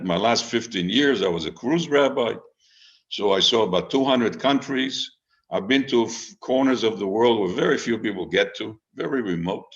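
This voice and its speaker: An elderly man in his eighties with a low voice, heard over dull, lo-fi video call audio. He is a retired rabbi from Israel who talks informally about his life, deliberately and with dramatic pauses and repetition. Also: a bit bright. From Israel, born in Haifa under British Mandate Palestine. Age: 80s. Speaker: retired rabbi and author, guest speaker. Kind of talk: informal autobiographical talk to an online group. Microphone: video call microphone.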